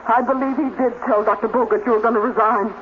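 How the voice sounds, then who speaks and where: A woman's voice: fairly high pitch, fairly even intonation, quick pace, close to an everyday voice. A woman playing a proud, bitter robot scientist, intense and sardonic, in a 1950s radio drama. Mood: scornful. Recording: tinny, very noisy 1950s radio recording.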